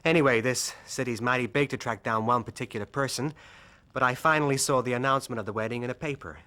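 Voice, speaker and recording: A man plays a forthright American adventurer in a period radio drama. His voice is bright and forceful at an average pitch, and his delivery is eager and plain-spoken. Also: fast talker, close to an everyday voice. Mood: explanatory. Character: frank, forthright American adventurer and devoted husband.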